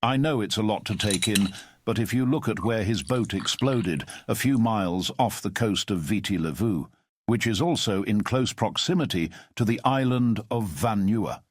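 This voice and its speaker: A man voicing a calm, commanding middle-aged former spy who speaks in a measured, formal way. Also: fairly low pitch, a little hiss or hum, lightly acted. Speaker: storyteller. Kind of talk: audio drama storytelling.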